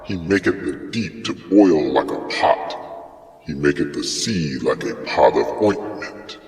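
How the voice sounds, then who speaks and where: A man's voice: very deep voice, animated, measured pace, a little mellow, full character voice. A man voicing God as a stern, commanding deity in a solemn, grand dramatic scripture reading. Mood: majestic. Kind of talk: dramatic Bible reading in archaic English. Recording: long cavernous reverb with music behind.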